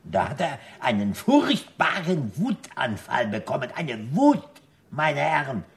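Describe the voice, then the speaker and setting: A suave restaurant proprietor in a 1960s radio drama. He speaks slowly and with great ceremony, his pitch swinging widely. He is courteous and sly, with an air of command. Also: wildly swooping pitch, creaky, exaggerated voice. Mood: smooth, reassuring. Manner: formal, elaborately polite, slow and measured.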